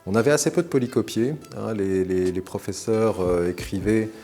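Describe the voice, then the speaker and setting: A man in his forties who grew up in Morocco, telling the story of his studies and career in a measured, articulate way, with frequent hesitations. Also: fairly low pitch, warm tone, faint music behind. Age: 40s. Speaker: interview guest. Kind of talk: recounting his career to camera.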